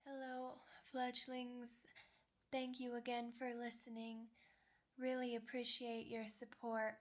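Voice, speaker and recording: Slow-talking woman, casual announcement. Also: a little high, completely flat delivery. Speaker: ASMR creator.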